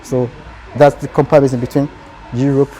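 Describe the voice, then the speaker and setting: A man's voice: medium pitch, level tone, moderate pace, crowd noise, poor audio. A man with a Nigerian accent answering interview questions earnestly, in short phrases that restart.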